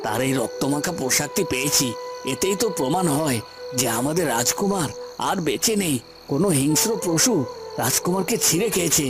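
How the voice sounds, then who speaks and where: A man's voice: fairly high pitch, lively intonation, fairly quick, music in the background, close to an everyday voice. A man playing a scheming courtier in a fairy-tale cartoon, outwardly respectful and deferential as he explains himself to a ruler. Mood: solemn, persuasive. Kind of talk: Bengali fairy-tale cartoon dramatic acting.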